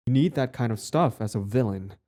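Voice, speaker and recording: A man's voice: mid-range voice, fairly quick, warm tone, lightly acted. A man playing a cocky but easily flustered villain devoted to a date, in a casual, wry romantic audio roleplay. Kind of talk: one-sided romantic audio roleplay, conversational.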